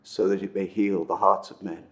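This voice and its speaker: A man acting a dramatic monologue as an earnest, wistful storyteller recounting an old legend, with intense pauses and swells. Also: deep voice, level tone, fairly bright tone. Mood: earnest, wondering. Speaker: amateur actor performing a film monologue.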